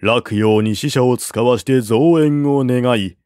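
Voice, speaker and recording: A man narrating a classical war novel as an audiobook, in a measured, even storytelling manner. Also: medium pitch, no room sound, studio quality.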